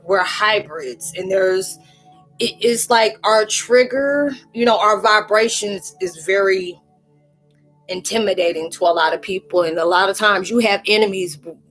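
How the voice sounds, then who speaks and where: A woman hosting a casual spiritual chat in a rambling, animated way. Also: on the low side, rough recording. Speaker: live stream host.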